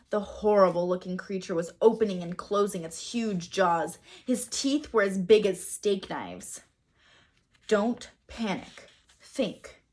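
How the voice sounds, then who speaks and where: Husky woman reading a children's story. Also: mid-range voice. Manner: dramatic emphasis, pauses to quiz listeners. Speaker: read-aloud teacher. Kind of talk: expressive children's story narration.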